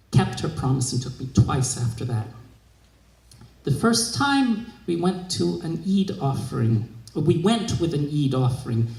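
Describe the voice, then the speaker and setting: A man giving an expressive literary reading of a scripted short story. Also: a little high, creaky. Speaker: literary reader. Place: live reading in a museum room. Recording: echoey room.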